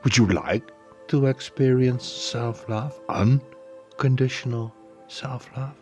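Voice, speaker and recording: A man narrating a guided meditation in a soothing, deliberate way, leaving pauses between phrases. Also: medium pitch, darkish tone, faint music behind.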